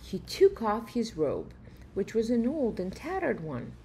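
Slow-paced middle-aged woman reading a picture book. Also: a little high, wildly swooping pitch, warm tone, a little hiss or hum, poor audio. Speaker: reader of a children's picture book. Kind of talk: expressive read-aloud storytelling. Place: room at home, indoors.

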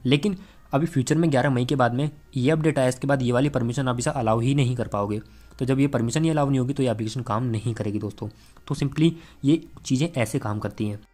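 A man's voice: mid-range voice, fast talker, a little mellow. A man, explaining tech in a conversational, step-by-step way as a channel host. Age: 20s. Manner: conversational, explains step by step with frequent tag phrases. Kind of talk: explanatory tech vlog to camera and screen tutorial. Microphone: clip-on lapel mic.

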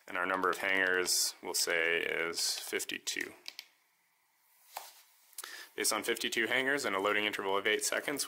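A man calmly walking through how his homemade device works, step by step, in a voice-over demo. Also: deep voice, a little hiss or hum. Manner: calm, methodical step-by-step explaining. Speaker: maker demonstrating his device.

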